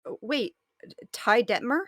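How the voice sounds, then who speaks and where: A woman's voice: a little high, a little slow, a bit bright, no room sound. A woman playing an affectionate, teasing partner, dry close sound. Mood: puzzled. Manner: conversational, playful, explains with examples. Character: affectionate, teasing wife consoling and humoring her partner. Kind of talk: naturalistic audio drama table read.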